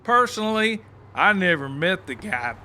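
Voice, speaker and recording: Crude loud-mouth cowboy, high bright voice, swoops all over, slow delivery, folksy insults. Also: male voice, sing-song, slight background noise, full character voice. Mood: gleefully boastful. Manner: folksy drawling insults and colorful country sayings. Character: brash, crude, cackling country cowboy killer, blind. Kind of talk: audiobook character acting.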